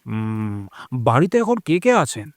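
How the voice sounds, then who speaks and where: A man playing an animated, fatherly gentleman. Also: mid-range voice, slow pace, no echo, clean studio sound. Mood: curious, chatty. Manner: expressive, exclaiming, familiar. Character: chatty, concerned older gentleman, fatherly and insistent. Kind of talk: audio drama acting.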